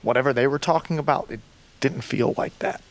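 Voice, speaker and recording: An actress playing a cautious, tense companion with a gravelly voice and blunt, urgent manner in an audio drama. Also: female voice, rumbling low, brisk. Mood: uneasy. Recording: loud ambient noise behind voice.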